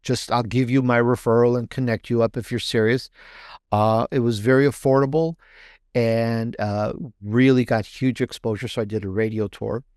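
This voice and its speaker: A man's voice: mid-range voice, fairly even intonation, moderate pace, darkish tone, clear, resonant tone, no echo, clean studio sound. A man speaking as an interview guest in a casual, rambling storytelling way, often rephrasing himself mid-thought. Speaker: podcaster and author guest.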